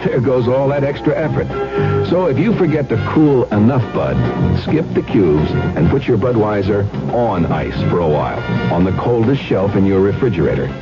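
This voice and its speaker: A man's voice: lowish voice, expressive, normal speed, loud music behind the voice. A man announcing a 1970s radio commercial in a wry, conversational way. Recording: dull 1970s radio broadcast.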